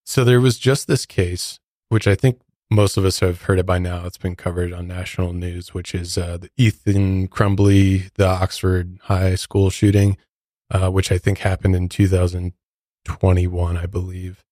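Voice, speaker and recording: Low-voiced, opinionated American man, close dry sound. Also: little pitch movement, moderate pace, warm tone, dry recording. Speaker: podcast co-host. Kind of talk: true crime podcast discussion.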